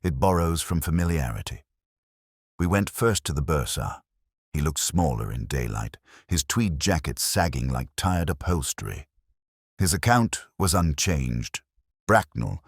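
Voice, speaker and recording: An actor playing a melancholy, intellectual English detective who narrates his case in measured, literary first person, as in a radio mystery. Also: very deep voice, big pitch swings, creaky, breathy edge, dry recording, clean studio sound, exaggerated voice. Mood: brooding. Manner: measured, brooding, ornate phrasing.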